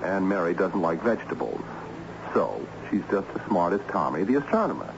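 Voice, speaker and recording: A wry, excitable low-voiced school administrator. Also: male voice, a little fast, gravelly, heavily acted. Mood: enthused, explanatory. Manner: wry, theatrical, patiently explanatory. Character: harried, excitable male school assistant principal with ironic humour. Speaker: actor in a radio play. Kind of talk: old-time radio drama acting. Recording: dull, noisy old radio recording.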